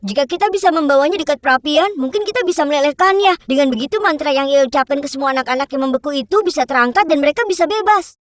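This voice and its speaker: A woman voicing a bold, curious little boy in a children's cartoon, with an eager, animated manner. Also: sits high, fast talker, lightly acted. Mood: determined.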